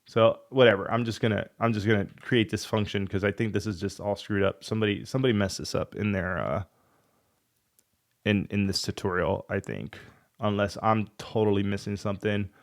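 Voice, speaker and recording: A man's voice: fairly low pitch, steady tone, brisk. A man with a cold and a fading voice, talking casually through a coding walkthrough and muttering in frustration as he debugs. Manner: thinks aloud, frustrated muttering while debugging. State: losing his voice, has a cold. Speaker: coding tutorial host.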